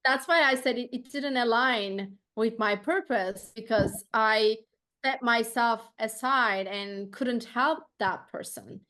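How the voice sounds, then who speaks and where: A woman's voice: fairly high pitch, slow pace, bright, forceful tone. A woman from Turkey, a native Turkish speaker, hosting a podcast in English with a Turkish accent, talking earnestly and restarting her sentences as she thinks. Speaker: podcast host. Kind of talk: casual interview chat.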